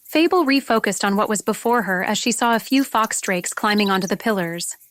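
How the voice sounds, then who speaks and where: A woman's voice: medium pitch, a little fast, a bit bright, no echo, clean studio sound. A woman narrating a fantasy story from a script, as an audiobook reader. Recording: noticeable background noise with clicks, insect-like sounds.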